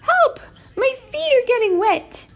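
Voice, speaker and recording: A woman voicing a small, proud but nervous male ship's mouse in a children's storybook, squeaky and excitable. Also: unhurried, vocal fry, heavily acted. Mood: panicked. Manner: excitable, exclamatory.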